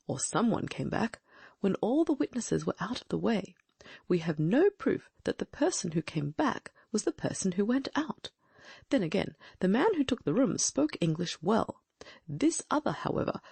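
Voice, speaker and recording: A woman's voice: medium pitch, creaky, darkish tone, husky, no echo, exaggerated voice. A woman voicing a brilliant, confident Victorian detective in an audiobook, reasoning aloud with precise diction. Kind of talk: audiobook dramatic reading.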